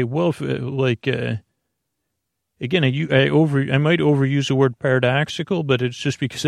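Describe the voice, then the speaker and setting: Creaky guy rambling slow for a sleep show, dry close mic, drifts off on tangents. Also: medium pitch, no echo. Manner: slow, meandering, self-interrupting, gently joking. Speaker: sleep podcast host. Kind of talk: rambling bedtime monologue.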